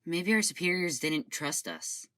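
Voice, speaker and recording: A woman's voice: deep voice, lively intonation, unhurried, a bit bright. A woman playing an earnest crew member. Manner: earnest, insistent.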